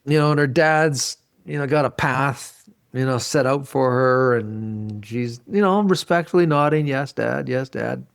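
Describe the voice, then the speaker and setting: A man's voice: mid-range voice, unhurried, vocal fry, clean tone. A man hosting a podcast in casual conversation, speaking in an enthusiastic, rambling way and often repeating himself. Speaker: podcast host.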